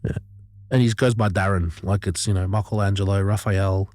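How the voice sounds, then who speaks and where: A man's voice: low-pitched, steady tone, brisk, darkish tone, no echo, clean studio sound. A man co-hosting a podcast, bantering bluntly and irreverently with plenty of swearing. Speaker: podcast co-host. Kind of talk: casual banter, heavy swearing. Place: vintage caravan turned studio.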